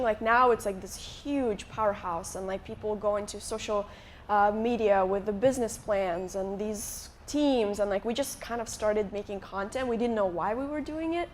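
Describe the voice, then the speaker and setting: A woman's voice: mid-range voice, normal speed. Young woman from moldova chatting away onstage, lots of likes and restarts, bit of background hiss. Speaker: guest YouTuber. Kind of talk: casual onstage interview. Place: conference stage before a live audience.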